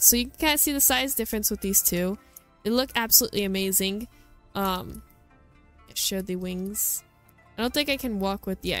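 A woman hosting a gaming video, giving a chatty, enthusiastic, casual walkthrough commentary. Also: mid-range voice.

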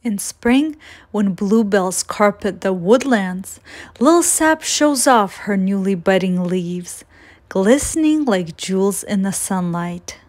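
Female children's storyteller, medium pitch, unhurried and deliberate picture-book narration. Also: animated, a little mellow. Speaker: children's storytime reader and host. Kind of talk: scripted read-aloud of a children's picture book.

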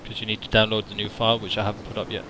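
A man from Denmark, Danish by birth, chatting casually and excitedly while building in a survival game with a friend, given to swearing and poking fun at himself. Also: lowish voice, rapid-fire. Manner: excitable, swears and self-mocking. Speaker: modder playing with a friend. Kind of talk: casual gaming chat. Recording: noisy game audio behind voice.

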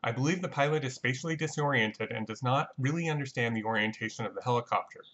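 A man giving a measured, matter-of-fact scripted technical narration as an explainer. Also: mid-range voice, fairly bright tone, dull audio. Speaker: narrator of a flight reconstruction.

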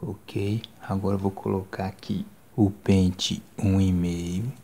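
A young man in his twenties with a Brazilian accent, doing a soft-spoken, whispered ASMR barber roleplay in a casual, slangy way. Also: low voice, little pitch movement, slow, vocal fry, noisy background. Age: 20s. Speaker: ASMR creator playing a barber. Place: small decorated indoor room. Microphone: handheld phone microphone up close.

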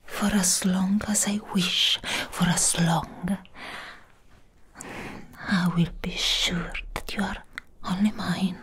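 Woman playing a slow, whispering possessive vampire ex-girlfriend. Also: lowish voice, fairly even intonation, a bit bright. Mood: possessive, coaxing. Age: young adult. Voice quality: breathy whisper. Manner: slow, drawn-out, coaxing. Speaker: ASMR roleplayer. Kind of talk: soft-spoken ASMR roleplay. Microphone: close microphone.

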